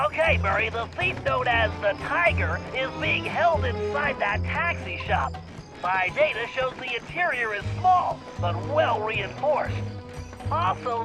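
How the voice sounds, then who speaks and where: High, nasal, brainy turtle planner. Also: male voice. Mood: matter-of-fact. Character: brainy, cautious young male turtle gadget whiz and planner. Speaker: mission planner. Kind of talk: animated video game voice acting.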